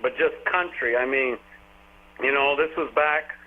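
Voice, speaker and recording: A man's voice: mid-range voice, measured pace. A man in his forties from Vermont, a country singer telling stories in a casual, rambling way with lots of fillers. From Vermont, USA. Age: 40s. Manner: rambling, folksy storytelling with frequent fillers. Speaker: country singer, interview guest. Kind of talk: casual phone interview. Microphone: telephone. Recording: tinny phone line.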